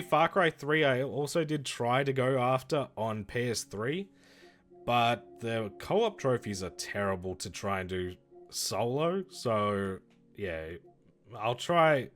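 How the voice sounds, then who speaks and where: A man streaming and chatting casually about games, rambling and correcting himself, with his voice going hoarse. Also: mid-range voice. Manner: rambling, self-correcting, lively. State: losing his voice. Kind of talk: casual livestream chat reviewing games.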